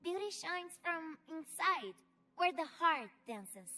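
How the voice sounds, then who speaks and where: A woman's voice: extremely high pitch, big pitch swings, slow pace, sharp tone, faint music behind, heavily acted. A woman voicing a playful, childlike and tender shapeshifting chameleon creature in a video game, speaking in simple broken sentences about herself in the third person. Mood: dreamy, tender. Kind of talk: video game character voicelines.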